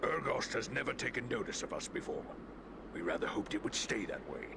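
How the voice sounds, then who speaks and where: A man voicing a gruff, practical male dwarf in a video game, gravelly and matter-of-fact. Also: mid-range voice, sing-song, fairly quick, very noisy.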